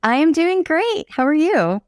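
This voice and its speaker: A woman's voice: high voice, sing-song, unhurried, a little mellow, slight background noise. Upbeat American woman hosting a podcast. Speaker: podcast host. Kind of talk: podcast hosting, introducing and interviewing.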